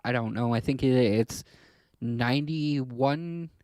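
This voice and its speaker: A man's voice: mid-range voice, slow pace, a little mellow, no room sound. A man from Canada co-hosting a podcast, talking politics casually in a hesitant way, stumbling over his words. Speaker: podcast co-host.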